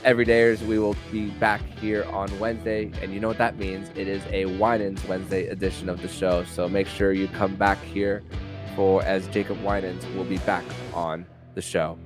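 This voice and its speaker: A man hosting a sports podcast in a conversational style, with a somewhat halting delivery that repeats words. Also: low-pitched, level tone, over music.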